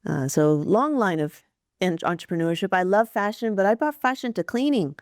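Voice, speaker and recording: A woman's voice: mid-range voice, expressive, normal speed, dry recording, clean studio sound. A woman in her forties from Atlanta, a business founder telling her story as a podcast guest, with fillers and detailed lists. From Atlanta, Georgia, USA. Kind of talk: casual interview talk.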